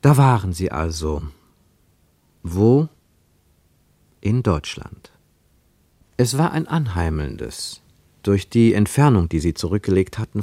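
A man narrating a literary radio play in a reflective, rhetorical manner with deliberate emphasis. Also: low-pitched, warm tone, dry recording, full character voice. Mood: reflective, questioning. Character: reflective literary narrator of a postwar travel story.

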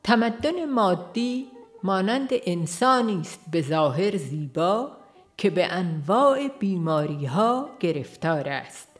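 A woman reading an audiobook aloud in a calm, measured way, pausing gently between phrases. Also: fairly low pitch. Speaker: audiobook narrator. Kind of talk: scripted audiobook narration. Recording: faint music behind the voice. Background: soft background music.

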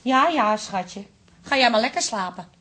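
An actress playing a touchy, insecure middle-aged wife in a radio drama, with a pointed, indirect manner. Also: female voice, on the high side, expressive, moderate pace, bright, forceful tone. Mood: coy, hesitant. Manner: pointed, indirect, easily offended.

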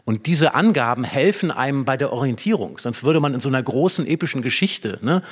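A man's voice: mid-range voice, animated, brisk, husky. Man explaining literature in conversation. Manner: explanatory, with illustrative examples and tag questions. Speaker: literary expert guest.

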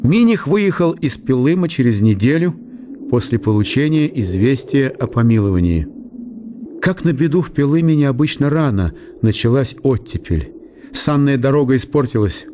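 A man with a medium-pitched voice narrates historical fiction for a radio play. He tells it in measured, short phrases. There is a faint layer of ambient noise behind him. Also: expressive. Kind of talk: literary scripted narration of a historical story.

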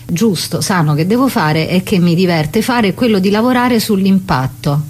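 A woman's voice: mid-range voice, animated, normal speed, a little mellow, slightly rough. A woman interviewed as a playwright and actress, explaining conversationally and emphatically, rephrasing and piling up short phrases.

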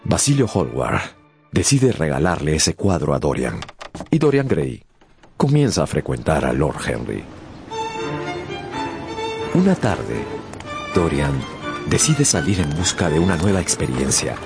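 A man narrating a radio drama as a scripted story, theatrically and with deliberate pauses. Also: extremely low pitch, sing-song, creaky. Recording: music behind the voice.

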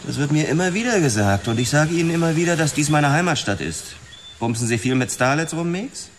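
An actor playing a driven, defiant hard-boiled cop, blunt and confrontational in a noir radio drama. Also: male voice, on the high side, animated, brisk, slight background noise. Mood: provoking.

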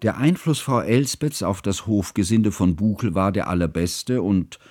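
An actor playing an earnest, bookish man narrating memories of a friend in formal, measured for a radio drama. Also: on the low side, a little mellow, no room sound, studio quality, close to an everyday voice. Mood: reflective. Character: earnest, bookish male narrator recalling his friend's childhood.

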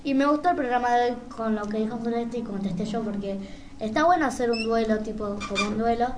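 A woman's voice: fairly high pitch, fast talker. A woman with an Argentine accent hosting an informal live radio debate. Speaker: radio programme host. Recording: noisy live radio recording.

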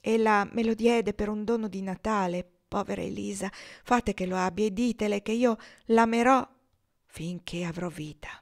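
A woman's voice: medium pitch, moderate pace, gravelly, pitched above the performer's own voice, natural voice. A woman voicing a desperate young husband who pleads and turns bitter, in a read-aloud story. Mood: pleading.

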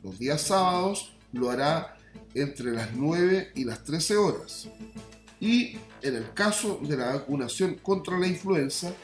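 A Chilean man presents the news in a deliberate, unhurried read. His voice sits a little high for a man. The sound is roomy and echoing, with music underneath. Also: lively intonation. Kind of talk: scripted news bulletin. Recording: roomy echo, music behind. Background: faint music.

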